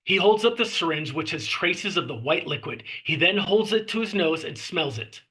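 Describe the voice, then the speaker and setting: A man's voice: a little high, lively intonation, normal speed, pushed and bright, slightly rough. A man reading out scene descriptions as the narrator of a screenplay table read. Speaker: narrator reading stage directions.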